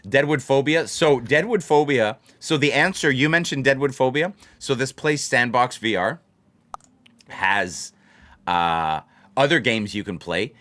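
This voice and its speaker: A man's voice: medium pitch, a little slow, fairly bright tone. A man hosts a casual livestream, chatting to viewers in a rambling way and repeating phrases for emphasis. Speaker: livestream host of a blackjack channel.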